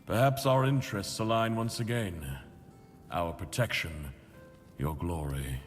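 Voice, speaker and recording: Low male voice, grave burdened leader, fantasy game, formal, measured, solemn, long reverb. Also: fairly even intonation, soft background music. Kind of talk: fantasy video game dialogue.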